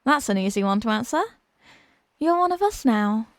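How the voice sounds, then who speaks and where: A woman plays a young mermaid princess with a fairly high voice, recorded close and dry. She is possessive and haughty, talking down to her listener with sweet, teasing smugness in an ASMR roleplay style. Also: expressive, moderate pace, no room sound, close to an everyday voice. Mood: smugly reassuring. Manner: condescending, sweetly smug, teasing. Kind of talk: scripted roleplay ASMR audio drama.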